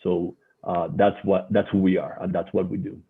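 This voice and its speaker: A man with a Colombian Spanish accent presenting as a guest researcher in a webinar, haltingly and often repeating words. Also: low voice, little pitch movement, slightly rough. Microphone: online video call. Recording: muffled, phone-like webinar audio.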